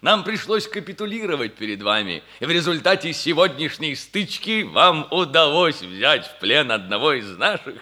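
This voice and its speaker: An actor playing an emotional, big-hearted older hussar major in theatrical 1960s radio comedy style, effusive and exclamatory. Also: male voice, a little high, expressive, normal speed, few pauses, sharp tone. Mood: genial, gallant. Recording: 1960s radio play with music.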